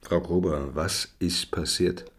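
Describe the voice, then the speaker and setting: An actor in a radio drama playing a quiet, patient police inspector who asks and explains in a measured, husky way. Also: male voice, deep voice, steady tone, exaggerated voice. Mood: gentle, grave.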